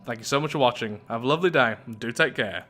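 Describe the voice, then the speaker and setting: A man with a bright, forward voice at medium pitch gives animated game playthrough commentary. He chats and thinks aloud as host, with music playing faintly behind him. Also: fast talker. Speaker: YouTube gaming host.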